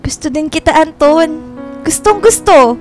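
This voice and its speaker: A woman's voice: high-pitched, lively intonation, a little slow, darkish tone, soft background music. A young woman playing a sweet, affectionate girlfriend in a radio drama, tender, respectful and playfully teasing. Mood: tender, shy. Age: young adult. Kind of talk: Filipino radio drama acting.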